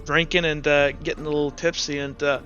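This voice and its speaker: A man's voice: a little high, little pitch movement, brisk, pushed and bright, dull audio, soft background music. A man narrating casually as game master of a tabletop roleplaying game, in an informal, rambling way.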